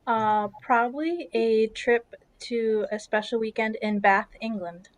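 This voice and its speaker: A woman giving a casual, explanatory book pitch as a game contestant. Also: unhurried, a crowd in the background.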